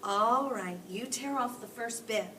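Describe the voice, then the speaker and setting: A woman voicing an excited, mischievous old grandfather from a storybook, with an urgent, conspiratorial manner. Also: a little high, unhurried, recorded from a distance, a little hiss or hum. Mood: eager. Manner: hushed, urgent, emphatic storybook delivery.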